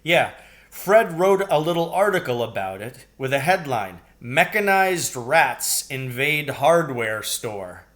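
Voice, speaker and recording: A man's voice: mid-range voice, big pitch swings, takes it slowly, a bit bright. A man voicing a practical farmer father in a storybook, folksy and matter-of-fact. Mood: recounting news. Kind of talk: acted storybook dialogue.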